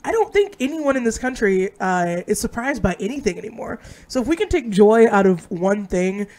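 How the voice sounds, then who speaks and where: Woman, low voice, hoarse from a cold, blunt, ranting, casual chat. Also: big pitch swings, moderate pace, darkish tone. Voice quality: low, sick-sounding voice. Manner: ranting, outspoken. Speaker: podcast co-host.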